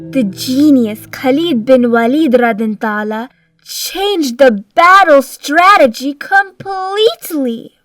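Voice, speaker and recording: High-pitched woman narrator over music. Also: no room sound. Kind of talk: scripted children's story narration. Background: background music.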